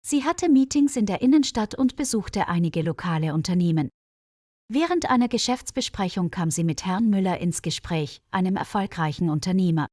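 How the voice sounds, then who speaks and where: A woman reading a scripted audio story for language learners in a measured, clearly phrased storytelling manner. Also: on the low side, animated, a little mellow, dry recording, clean studio sound. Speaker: narrator of German-learning stories.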